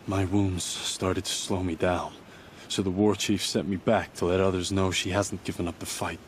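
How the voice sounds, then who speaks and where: Male actor as a wounded warrior, earnest, low voice, game cutscene. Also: flat delivery, normal speed, a little hiss or hum, lightly acted. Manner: earnest, urgent, solemn.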